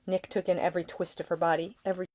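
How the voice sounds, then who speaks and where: Lowish-voiced woman narrating fiction. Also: flat delivery, very fast, darkish tone. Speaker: author reading own audiobook. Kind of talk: expressive scripted fiction narration.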